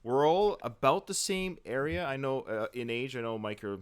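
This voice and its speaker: A man in his forties hosting a casual podcast chat and talking with animated, fan-like enthusiasm. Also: medium pitch, moderate pace, clean tone. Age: 40s. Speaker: podcast co-host.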